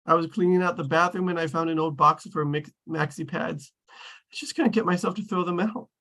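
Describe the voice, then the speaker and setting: A man's voice: fairly high pitch, steady tone, a little fast, pushed and bright. A mopey, heartbroken sitcom guy in his twenties, newly divorced, played by a man. He delivers his lines in a plaintive, self-pitying way. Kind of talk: sitcom script table read.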